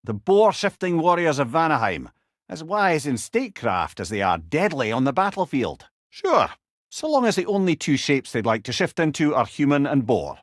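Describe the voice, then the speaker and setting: An actor playing a witty, learned old storyteller god in a video game, with a Scottish accent and wry, eloquent, old-fashioned phrasing. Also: male voice, on the high side, big pitch swings, moderate pace, no echo, clean studio sound. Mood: amused, musing. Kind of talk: video game character dialogue, storytelling banter.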